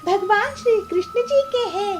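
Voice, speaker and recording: A woman's voice: extremely high pitch, normal speed, slight background noise, pitched above the performer's own voice. A woman voicing a cheerful, devout young bird who sells sweets, eager and singsong, in a children's cartoon. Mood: grateful, devout.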